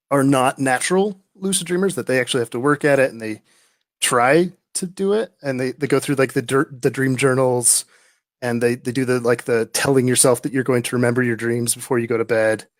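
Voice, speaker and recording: A male guest author of average pitch answers questions slowly and thoughtfully. He hesitates often and repeats words as he works out each thought. The recording is dry and close. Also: dry recording. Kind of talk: casual interview answers.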